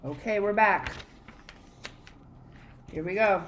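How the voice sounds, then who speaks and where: Expressive woman reading a children's story. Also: medium pitch, slow, slight background noise. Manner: expressive, conversational, prompting listeners to predict. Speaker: teacher reading aloud to children. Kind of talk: interactive storybook read-aloud with questions.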